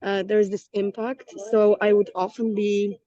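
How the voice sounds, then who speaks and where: A woman with a Polish accent talks openly about herself, with halting restarts and frequent soft laughs. Her voice comes through a dull, lo-fi connection with no room sound, as if she were calling in from the city. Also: mid-range voice, flat delivery, no echo. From Poland. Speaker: guest. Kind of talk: casual chat. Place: outdoors in a city.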